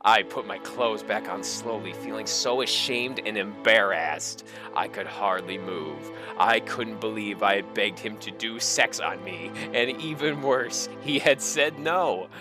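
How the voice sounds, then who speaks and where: A man's voice: fairly low pitch, a little slow, hardly any pauses. A man narrating a comic dramatic reading of fan fiction in a mock-serious, theatrical way, with music behind him.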